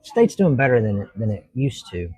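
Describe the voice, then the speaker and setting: A man's voice: mid-range voice, sing-song, moderate pace, mellow, husky, dry recording, clean studio sound. A man, a judge answering interview questions in an earnest, reflective way and explaining at length. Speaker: judge, talk show guest.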